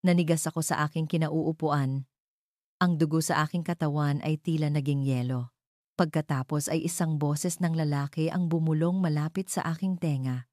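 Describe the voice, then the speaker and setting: A woman narrating a scripted first-person drama in measured, suspenseful phrases. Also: low voice, fairly even intonation, dark tone, no echo, clean studio sound. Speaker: story narrator.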